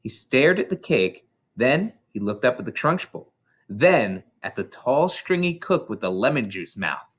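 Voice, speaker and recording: Animated storybook reader, widely swinging pitch. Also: a little slow, breathy edge. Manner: dramatic, theatrical. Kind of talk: expressive children's book narration with playful asides.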